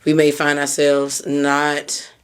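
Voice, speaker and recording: Hoarse woman giving a chatty pep talk. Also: low-pitched, completely flat delivery, slow pace. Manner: candid, encouraging, chatty with "like" and "you know". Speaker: podcast host. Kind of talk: casual motivational talk.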